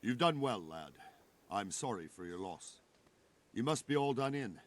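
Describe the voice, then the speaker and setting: A man's voice: mid-range voice, big pitch swings, measured pace. A man acting a kindly, fatherly, consoling castle figure in a video game.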